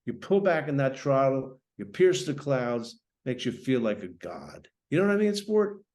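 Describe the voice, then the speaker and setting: A man's voice: medium pitch, sing-song, normal speed, darkish tone, lightly acted. Man voicing a dreamy young wartime pilot. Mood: exhilarated.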